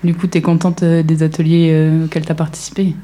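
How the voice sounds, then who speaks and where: Mellow woman explaining things informally. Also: low voice, monotone, brisk, faint music behind. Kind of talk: casual explanatory talk.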